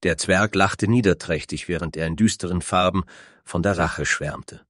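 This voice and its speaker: A man's voice: deep voice, moderate pace, fairly bright tone, dry recording, studio quality. A man narrating a scripted fantasy story as its storyteller.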